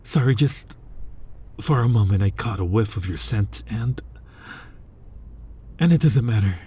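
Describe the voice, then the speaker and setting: Man playing a very husky, deep, brooding vampire hunter. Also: lively intonation, a little mellow, exaggerated voice. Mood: grim, determined. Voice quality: husky, breathy low voice. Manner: slow, brooding, halting, often dropping toward a whisper. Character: guilt-ridden, centuries-old male vampire who hunts vampires. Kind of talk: dramatic ASMR roleplay monologue.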